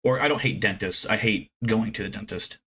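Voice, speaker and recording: A man's voice: fairly low pitch, a little fast, sharp tone, breathy edge. A man in his thirties chatting casually with viewers on a live stream, rambling from one thing to the next and swearing freely. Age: 30s. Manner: rambling, profane, self-deprecating.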